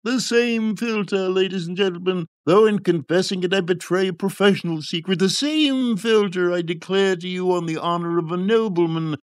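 Man putting on a pompous, high-pitched charlatan. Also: normal speed, hardly any pauses, clear, resonant tone, no room sound, clean studio sound, natural voice. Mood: solemn, boastful. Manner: grandiloquent barker's patter, declaiming to a crowd. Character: pompous booming male charlatan peddling love potions. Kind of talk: audiobook character voice.

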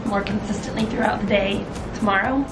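Woman, hoarse fading voice, cheerful vlog chat, echoey sound, music in the background. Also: medium pitch, steady tone, brisk. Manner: cheerful, laughing often. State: losing her voice. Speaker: vlogger. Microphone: handheld camera at arm's length.

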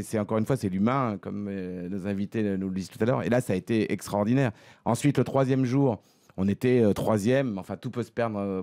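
A middle-aged man giving a sports recap as a commentator in a radio studio, halting and repeating words as he goes. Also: on the low side, a little mellow, no echo. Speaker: equestrian commentator. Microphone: studio microphone on a desk arm.

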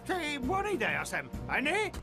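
Cartoon station officer: high, animated, commanding, urgent, over loud music. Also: male voice, unhurried, exaggerated voice. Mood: alarmed. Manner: urgent, proclaiming. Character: excitable, commanding male fire station officer. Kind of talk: animated children's cartoon dub.

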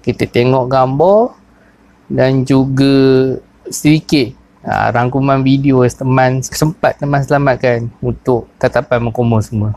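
A man in his thirties speaks with a Perak Malay accent, talking through his sound job in an easy, unhurried way full of pauses and fillers. He is recorded close on a clip-on lapel mic in a small cluttered office. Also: mid-range voice, dry recording. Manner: relaxed, many fillers and pauses. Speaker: sound technician. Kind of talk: casual explanatory vlog.

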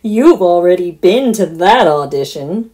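A woman's voice: fairly low pitch, lively intonation, a little slow, dark tone. Woman doing a bouncy, doting farmer dad. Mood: stern. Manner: bouncy, sing-song croon. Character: cheerful burly farmer dad, doting and folksy.

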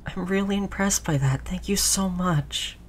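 A woman playing a gentle human who soothes their android companion in an intimate roleplay audio drama, tender and reassuring. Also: lowish voice, unhurried, slight background noise, close to an everyday voice. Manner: soothing, tender, hushed, repeating reassurances softly.

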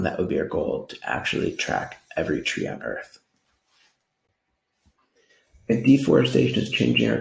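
An American man from New York giving an earnest, explanatory slide presentation pitch in an echoing room. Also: gravelly, darkish tone. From New York, United States. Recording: roomy, echoing voice recording.

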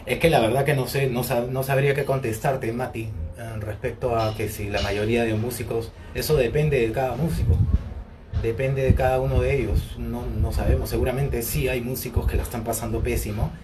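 A Peruvian man in his forties talks casually to fans through a phone held at arm's length, his voice sitting at an ordinary male pitch. He speaks in a relaxed, unhurried way, filling his pauses with hesitant "eh"s. Steady outdoor noise runs under his voice. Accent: Peruvian Spanish. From Peru. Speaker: singer answering fans. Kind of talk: casual live chat with fans. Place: outdoors in bright sun by a glass building. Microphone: phone held at arm's length, wireless earbuds.

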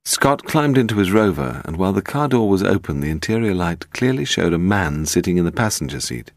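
A man narrating a detective novel as an audiobook, in a measured, expressive storytelling manner. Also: low voice, no room sound, studio quality.

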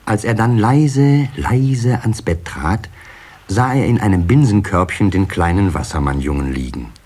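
A man narrating a children's fairy tale as a measured, expressive storyteller in an audio play. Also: fairly low pitch, slightly rough. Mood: storytelling.

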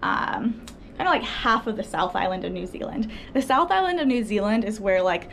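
A woman's voice: medium pitch, expressive, moderate pace, vocal fry, a bit bright, a little hiss or hum. A young woman talking to camera as a travel vlogger, chatty and enthusiastic with many fillers, recorded indoors on a close camera. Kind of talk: casual talk to camera. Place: indoors by a window.

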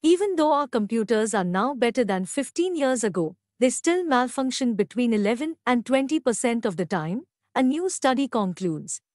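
Higher-pitched woman reading aloud, dry sound. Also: animated, moderate pace, hardly any pauses, darkish tone, dry recording. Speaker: English test read-aloud demonstrator. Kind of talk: scripted read-aloud of practice passages.

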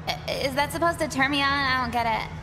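High-voiced sardonic young woman. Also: quick pace. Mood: scornful. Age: young adult. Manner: blunt, sarcastic, exasperated. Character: sardonic, jaded teenage-to-twenties mall worker girl. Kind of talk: voiced visual novel dialogue. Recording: clear background ambience noise.

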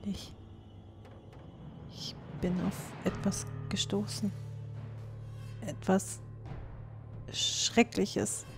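Scared young dwarf girl, played by a woman, fantasy audio drama, trembly n slow, halting. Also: medium pitch, flat delivery, vocal fry, music in the background. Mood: horrified, shaken. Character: horrified, frightened young female dwarf. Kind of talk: fan-made fantasy audio drama acting.

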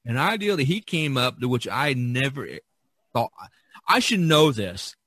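A man's voice: medium pitch, sing-song, unhurried, fairly bright tone, no room sound, studio quality. A man hosting a podcast, chatting casually and giving advice in an emphatic, self-deprecating way, often repeating himself. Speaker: podcast host and artist.

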